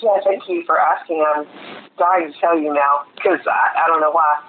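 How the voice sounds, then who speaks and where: A man's voice: fairly high pitch, fairly even intonation, normal speed. A man chatting casually as a podcast co-host in a rambling, chatty way, with some casual swearing. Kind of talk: casual chat. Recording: tinny phone-like line with clear noise.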